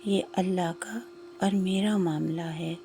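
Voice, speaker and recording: A woman voicing a humble, devout poor cobbler in a story, speaking gently and earnestly. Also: lowish voice, fairly even intonation, slight background noise, natural voice. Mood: gentle, firm.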